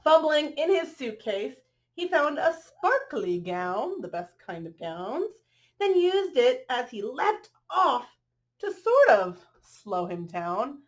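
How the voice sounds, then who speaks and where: A woman's voice: sits high, big pitch swings, takes it slowly. A woman reading a children's picture book aloud expressively, adding chatty asides and drawing listeners in with questions and exclamations.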